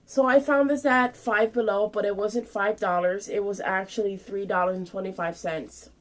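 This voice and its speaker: A woman's voice: mid-range voice, steady tone, moderate pace. A woman in her twenties talking informally and a bit ramblingly through a show-and-tell vlog, explaining things hands-on. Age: 20s. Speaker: vlogger showing purchases.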